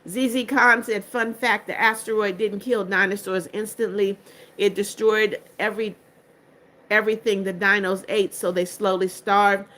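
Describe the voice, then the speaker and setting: Bright-voiced strict older woman. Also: mid-range voice, level tone, moderate pace, rough recording. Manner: strict, firm about blocking spammers. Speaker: livestream host. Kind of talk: reading out live chat comments.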